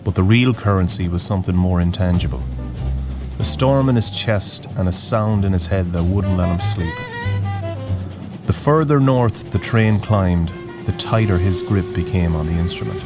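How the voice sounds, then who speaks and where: Very deep-voiced man narrating solemnly. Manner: solemn, poetic, deliberate. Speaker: narrator, host of a story series. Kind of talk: scripted dramatic storytelling narration. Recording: music bed under the voice. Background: music.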